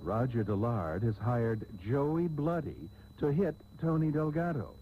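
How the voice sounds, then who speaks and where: An actor playing a jaded, grandiose American soap-opera radio host, narrating with theatrical, sardonic, drawn-out delivery on an old tape. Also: male voice, medium pitch, animated, narrow, tinny audio, a little hiss or hum, lightly acted. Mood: wearily sardonic. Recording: phone-thin old radio tape, music behind.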